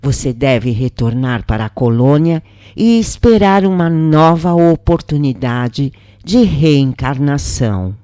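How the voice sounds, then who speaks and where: A woman voicing a soft-spoken male spirit mentor. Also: sits low, lively intonation, slow, dry recording, clean studio sound. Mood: consoling. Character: gentle male spirit mentor, calm and consoling.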